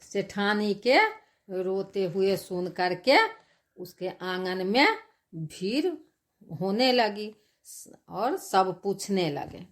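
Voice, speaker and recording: Female folk tale narrator for animation: mid-range voice, extremely unhurried, halting. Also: mid-range voice. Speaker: storyteller.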